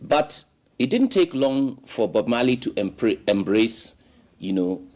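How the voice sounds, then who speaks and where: A man's voice: medium pitch, slow pace. A man from Ghana, a guest in a studio interview, telling long stories in an expansive way full of "you know"s. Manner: expansive, animated storytelling with many "you know"s.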